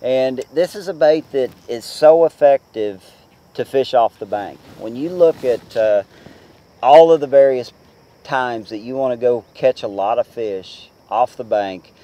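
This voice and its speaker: A man in his forties giving casual fishing tips to the camera from a boat on a lake, talking in a relaxed, chatty way with many fillers. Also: medium pitch, measured pace. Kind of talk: casual how-to talk to camera.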